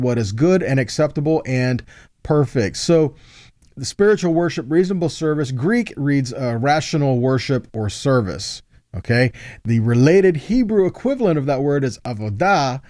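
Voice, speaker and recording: Guy doing a bible teaching, kinda higher voice but mellow, really dry close sound, repeats stuff to make the point. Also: dry recording. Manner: explanatory, checking in with short tag questions. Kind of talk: sermon teaching with scripture read aloud.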